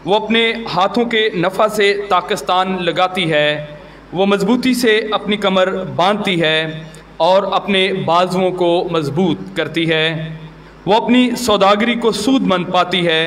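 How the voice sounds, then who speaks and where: A man with a Pakistani accent speaking as a pastor, giving formal greetings and scripture in solemn, deliberate phrases. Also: high voice, a bit bright. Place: church gathering. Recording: noisy live church recording.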